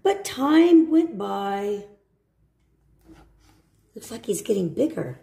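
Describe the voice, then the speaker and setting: A woman reading a children's storybook aloud, with simple, deliberate phrasing and short asides for young listeners. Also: medium pitch, big pitch swings, creaky, dark tone, reverberant. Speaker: storybook reader. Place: indoor room at home.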